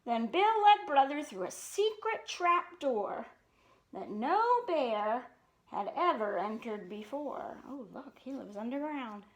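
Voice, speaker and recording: A woman reads a children's book aloud as a storytime host. Her voice is high and moves up and down expressively, with casual asides between passages. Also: animated, takes it slowly.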